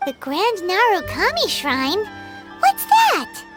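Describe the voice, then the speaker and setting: A woman voicing a tiny, chirpy, curious fairy companion with a squeaky childlike voice in an anime video game. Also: huge pitch swings, slow, heavily acted. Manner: eager, exclamatory, hesitant when bluffing. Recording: children's background music over studio voice.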